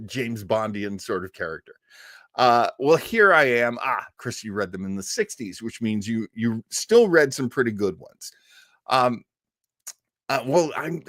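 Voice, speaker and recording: A man's voice: mid-range voice, a bit bright, no echo, clean studio sound. A man hosting an old-time radio livestream, chatting casually and enthusiastically in a rambling way. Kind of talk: casual livestream chat with audience.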